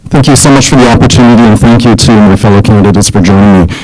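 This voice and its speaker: A man's voice: on the low side, quick pace, darkish tone, poor audio. A young Canadian man running for mayor, giving an earnest, personal campaign speech with the occasional restart. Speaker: mayoral candidate.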